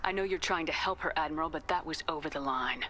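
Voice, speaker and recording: A woman's voice: deep voice, quick pace, sharp tone. An actress playing a firm, assertive female starship captain in a video game, direct and businesslike. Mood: firm, probing. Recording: clear background noise.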